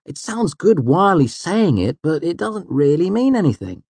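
A man's voice: mid-range voice, very animated, normal speed, dark tone, husky, no echo, pitched above the performer's own voice. A man voicing a clever young male student in an audiobook, wry, emphatic and earnest. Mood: exasperated. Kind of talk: audiobook character dialogue.

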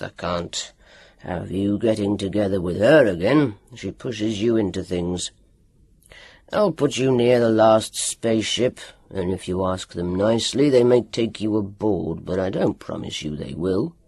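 A reader voicing a gruff, stern older male doctor who speaks in a curt growl. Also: mid-range voice, darkish tone, no echo, pitched below the performer's own voice.

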